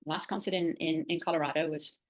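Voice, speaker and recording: An older woman reflecting casually as an interview guest, halting and repeating phrases as she thinks. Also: low-pitched, fairly even intonation, highs cut off. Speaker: interview guest, adult language learner.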